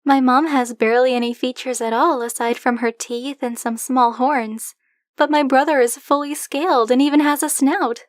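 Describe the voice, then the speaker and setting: A woman acts out a young dragon-blooded woman librarian with a high voice, recorded very dry and close. She is coy, teasing and possessive, talking straight to the listener as in an ASMR roleplay. Her delivery is conversational, candid and openly fond. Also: steady tone, moderate pace, dry recording, lightly acted. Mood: chatty, self-assured.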